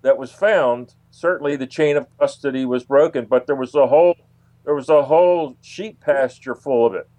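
Halting male researcher, dry close sound. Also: mid-range voice, darkish tone, no room sound. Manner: halting, repeats phrases for emphasis. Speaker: interview guest, researcher. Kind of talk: conversational interview answers.

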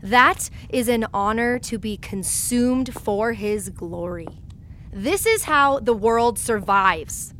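An actress playing a haughty, cruel royal villainess in a sci-fi audio drama, pompous and deliberate. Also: female voice, a little high, fairly bright tone, a little hiss or hum. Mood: grandly preaching.

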